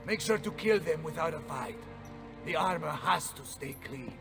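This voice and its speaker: An actor playing a blustering, hot-tempered Italian mercenary general in a video game, with an Italian accent and a bombastic, theatrical manner. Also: male voice, a little high, animated, moderate pace, vocal fry, recorded from a distance, music in the background. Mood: grave. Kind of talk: dramatic video game acting.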